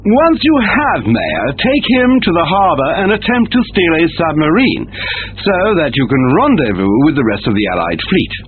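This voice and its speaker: Game briefing officer: brisk, instructive, high and animated, over a radio filter. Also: male voice. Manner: formal, instructive, emphatic. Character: brisk male military commander giving mission briefings. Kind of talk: scripted mission briefing. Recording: thin phone-like radio sound, faint noise.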